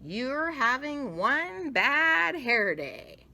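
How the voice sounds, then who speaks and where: A woman's voice: high-pitched, very animated, very slow, creaky, sharp tone, voice pushed up, full character voice. A woman voicing a matter-of-fact storybook father who sizes up trouble in an emphatic, declarative way.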